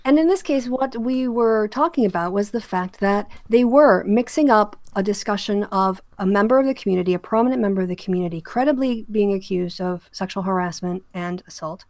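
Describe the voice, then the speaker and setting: A woman hosting an online discussion, speaking casually and haltingly as she comments, often correcting herself. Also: medium pitch, darkish tone, no room sound. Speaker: host of an online discussion channel.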